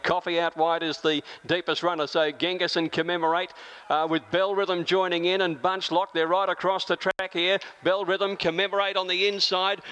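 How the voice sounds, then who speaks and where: A man's voice: sits high, sharp tone, smooth. A man with an Australian accent calling a horse race live.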